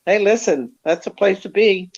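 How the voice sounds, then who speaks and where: A man's voice: sits high, normal speed, creaky, muffled recording. A man co-hosting a call-in chat, bantering playfully and teasing the guest. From Baltimore area, US. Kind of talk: casual chat on a call-in show.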